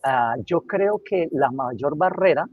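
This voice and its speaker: A man's voice: on the high side, lively intonation, slow pace, darkish tone, slightly rough, dry recording. A man answering as a webinar panelist, earnest and reflective, checking in with his listeners as he talks. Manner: earnest, reflective, tags lines with "¿sí?". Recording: dry, dull lo-fi mic.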